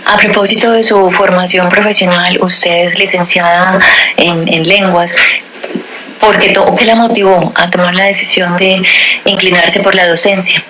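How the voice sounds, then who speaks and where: A woman's voice: fairly low pitch, fairly even intonation, moderate pace. A woman hosting a radio interview, asking polite, formal questions and answering with short backchannels. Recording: loud background noise.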